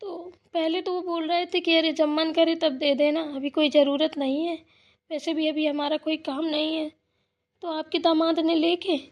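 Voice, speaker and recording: A woman's voice: very high-pitched, a little mellow. A woman voicing a troubled, pleading married daughter in a cartoon family drama, with a plaintive, wordy way of complaining.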